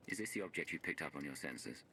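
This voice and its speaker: An actor playing a grave, authoritative hero in a video game, speaking directly and seriously. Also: male voice, extremely low pitch, expressive, fast talker, bright, forceful tone, slight background noise, exaggerated voice. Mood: curious. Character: grave, commanding male rescued hero, measured and authoritative. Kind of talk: video game cutscene voice acting.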